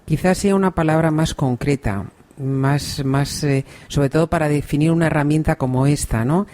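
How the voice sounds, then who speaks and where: A woman from Spain with a Spain Spanish accent, hosting an interview podcast in a relaxed way, often adding soft affirmations. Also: low-pitched, normal speed. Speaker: podcast host.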